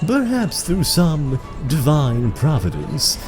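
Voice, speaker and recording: Dramatic male storyteller with a swooping, lively pitch. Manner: dramatic, measured storytelling delivery. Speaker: narrator. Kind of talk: scripted fantasy audio drama narration. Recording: background music under the voice. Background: music.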